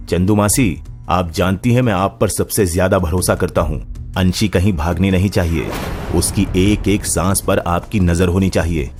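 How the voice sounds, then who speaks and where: A man's voice: sits low, music in the background, natural voice. A man playing a cold, possessive, vengeful husband in an audio drama, speaking in threatening commands. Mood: commanding. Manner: slow threatening, commanding delivery. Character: cold, menacing young male captor husband, possessive and vengeful. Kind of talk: Hindi romance audio drama acting.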